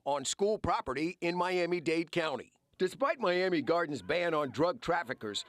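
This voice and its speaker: Male American investigative reporter, higher-pitched, lively scripted news narration, dry close sound. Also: dry recording.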